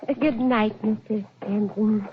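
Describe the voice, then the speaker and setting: An actress playing a timid, fretful spinster librarian, hesitant and fluttery, in old-time radio drama style. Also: female voice, medium pitch, fairly even intonation, measured pace, lightly acted. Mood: flustered. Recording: muffled, noisy old radio recording.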